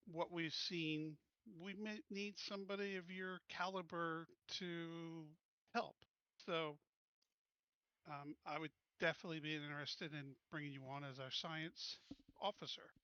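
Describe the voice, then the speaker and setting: A man's voice: fairly high pitch, sharp tone, clean tone, no echo, dull audio. A man playing a tabletop game, chatting casually and hesitantly, sometimes speaking as his character.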